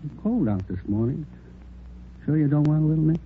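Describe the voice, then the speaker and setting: A man's voice: on the high side, animated, fairly quick, natural voice. Folksy American barkeep, acted by a man, muffled. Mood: chatty. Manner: chatty, folksy. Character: folksy, friendly, hospitable male small-town bar owner. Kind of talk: 1940s radio drama acting, conversational. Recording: muffled, noisy vintage 1940s radio recording.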